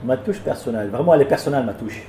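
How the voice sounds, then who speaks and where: A man with a mellow, dark voice answers interview questions about his work. He stumbles and repeats words as he feels his way through each thought. The room echoes around him, with faint noise behind. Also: medium pitch, lively intonation, very fast. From France. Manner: hesitant, repeats words often, warm and plain. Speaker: restaurant owner.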